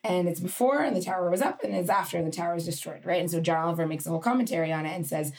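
A woman with a slightly low voice talks in loose, rambling sentences, often stopping to restart. She co-hosts a podcast as a teacher. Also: racing, a little mellow. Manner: rambling, hesitant, many restarts. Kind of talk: podcast conversation.

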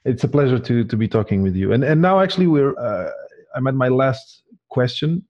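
A man interviewing a guest, halting and repeating words as he asks his questions. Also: mid-range voice, animated, darkish tone, muffled recording.

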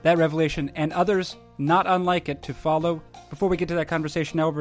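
A man hosting a podcast with dry, wordy, mock-formal delivery and wry banter. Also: fairly high pitch. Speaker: podcast host.

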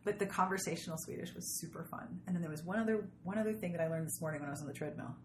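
A woman's voice: fairly low pitch, racing. A woman hosting a podcast, chatting casually in an effusive, exclamatory way and swearing freely. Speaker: podcast host and interviewer. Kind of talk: casual interview chat. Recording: echoey room with background noise.

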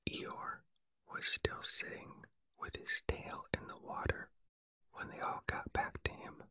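A reader whispering a children's story softly and evenly in a calm ASMR style. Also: very high voice, slow, dry recording. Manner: soft unvoiced whisper throughout.

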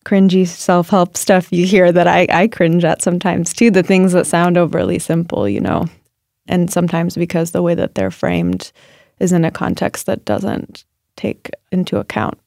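Woman, writer, gravelly voice, mellow tone, reflective, halting. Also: lowish voice, expressive, dry recording, studio quality. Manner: thoughtful, searching, many fillers and restarts. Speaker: writer and guest. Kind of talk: reflective interview answers.

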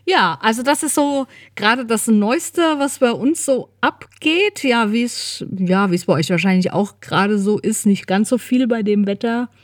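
A woman's voice: on the high side, animated, normal speed, few pauses, no room sound. A woman co-hosting a podcast, chatting in a casual, informal way into a close microphone. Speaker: podcast co-host. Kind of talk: casual chat.

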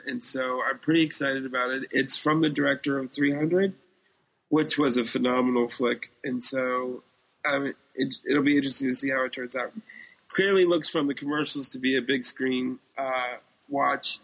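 A man co-hosting a podcast, chatting informally and with firm opinions about films and TV in a gravelly, husky voice. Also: medium pitch, a bit bright, low-quality recording. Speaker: podcast co-host. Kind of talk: casual chat about films and TV.

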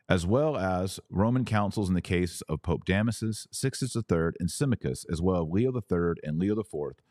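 A deep-voiced man hosts a religious commentary podcast in a dry, close recording. He phrases his points deliberately and with emphasis, moving between reading formal passages and explaining them. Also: darkish tone, no room sound. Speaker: podcast host and author.

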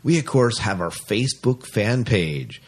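A man's voice: fairly low pitch, sing-song, measured pace, husky. A man hosting a casual sci-fi podcast, chatting in an enthusiastic way. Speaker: podcast host.

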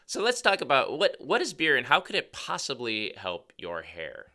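A man co-hosting a podcast as a cosmetic scientist, with a gravelly voice, explaining things in a relaxed, chatty way. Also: mid-range voice, moderate pace. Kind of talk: casual chat.

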